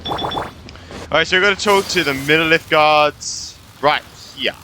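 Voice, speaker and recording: A man's voice: fairly high pitch, measured pace, background noise. A man giving casual playthrough commentary as a gamer, informal and playful, reacting aloud. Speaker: gamer, video host.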